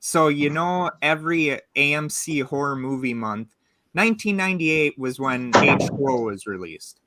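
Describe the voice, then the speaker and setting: A man in his twenties hosting a casual podcast chat, animated as he tells stories and shares trivia. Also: fairly high pitch, moderate pace, no room sound. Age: 20s. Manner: animated storyteller sharing trivia. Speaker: host.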